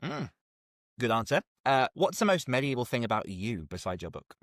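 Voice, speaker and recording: Podcast host: male, casual interviewer, animated intonation, mid-range voice, dry recording. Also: medium pitch, normal speed, no echo.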